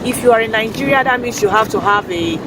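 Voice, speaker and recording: A hoarse woman vlogs from a city street, her voice strained by a sore throat. She explains step by step in an earnest, halting way, often restarting her sentences. She sits far from the mic, with loud traffic and street noise all around. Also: a little high. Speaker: vlogger. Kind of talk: casual informational vlog. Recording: noisy street recording, distant from mic. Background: traffic and urban ambience.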